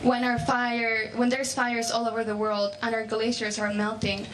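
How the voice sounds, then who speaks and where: A woman's voice: on the high side, flat delivery, moderate pace. A seventeen-year-old girl from Mexico, a climate activist, giving an earnest, passionate speech at an outdoor rally. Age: teens. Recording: noisy outdoor crowd recording.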